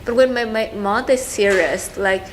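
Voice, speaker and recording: A young woman from Vietnam with a Vietnamese accent, talking casually and haltingly as she searches for words. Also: medium pitch. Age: young adult. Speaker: student interviewee. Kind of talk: casual, informal talk about family. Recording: clearly noisy room recording.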